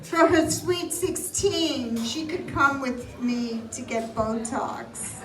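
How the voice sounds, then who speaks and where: High-voiced woman doing wry stand-up. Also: slow pace, background noise. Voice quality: loud voice. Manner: wry, self-mocking storytelling. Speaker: stand-up comedian. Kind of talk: live stand-up set. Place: small live venue with audience. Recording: roomy echo, distant mic.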